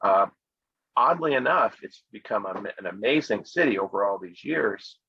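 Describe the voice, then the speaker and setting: An American man, middle-aged, giving a relaxed, friendly talk on travel spots to an online English conversation club over a video call. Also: fairly low pitch, normal speed, dry recording. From United States. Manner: relaxed and friendly, speaks clearly with fillers and laughs. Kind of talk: casual presentation on travel spots. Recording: dull lo-fi video call audio.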